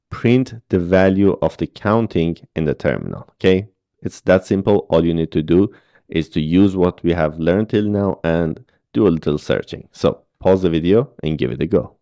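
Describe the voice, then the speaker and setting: A man's voice: low-pitched, mellow, no room sound, studio quality. A man, walking through coding exercises step by step and checking in with "okay?" and "right?". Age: 30s. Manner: patient step-by-step explaining with frequent "okay?" checks. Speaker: programming instructor. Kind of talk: screen-recorded coding tutorial.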